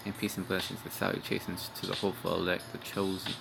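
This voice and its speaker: A man's voice: low-pitched, completely flat delivery, fast, gravelly. A young man giving an informal, rambling street-style sermon, cursing bluntly and tagging his lines with "man" and "all right". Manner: profane, blunt. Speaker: street preacher. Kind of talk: informal religious video sermon. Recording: music playing behind.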